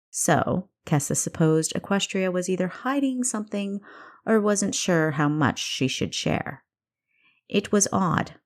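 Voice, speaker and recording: A woman reads a novel aloud as its narrator. Her voice sits a little low for a woman, and she delivers scripted storytelling prose. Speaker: author narrating own audiobook.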